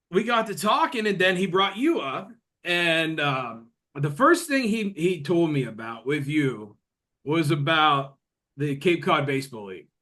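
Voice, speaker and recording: Bright-voiced chatty man in his thirties. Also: on the high side. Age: 30s. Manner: rambling, chatty, lots of fillers. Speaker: podcast host. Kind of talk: casual chat.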